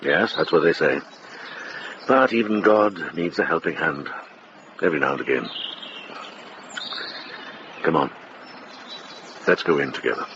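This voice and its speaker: An actor in a radio drama playing a wise, kindly older monk and healer, speaking in an unhurried, gently wry way. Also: male voice, very deep voice, highs cut off, background noise. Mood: reflective, wry.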